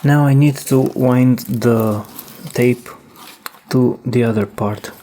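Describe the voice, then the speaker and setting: A man narrating a hands-on repair in a casual, halting way, thinking aloud as he works. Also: mid-range voice, steady tone, dark tone, slight background noise. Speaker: host demonstrating a cassette repair.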